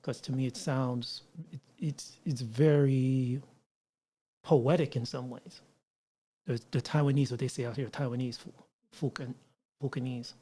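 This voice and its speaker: A man's voice: mid-range voice, fairly even intonation, unhurried, dark tone, husky. A man hosting a casual live stream, rambling with frequent restarts and asides to viewers. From United States. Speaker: livestream host. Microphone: mixer setup with line noise.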